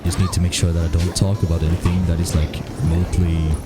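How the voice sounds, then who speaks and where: A man putting on a deep, sensual streamer voice. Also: little pitch movement, fast talker, natural voice. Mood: sultry. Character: deep-voiced sultry male streamer greeting viewers. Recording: music playing underneath. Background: game music.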